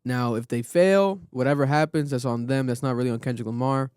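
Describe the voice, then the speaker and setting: A man with a mid-range voice co-hosts a podcast in a casual, slangy way. He feels his way through points haltingly and tacks on little questions to check in. The recording is dry and free of room echo. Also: mid-range voice, darkish tone, smooth. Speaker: podcast co-host.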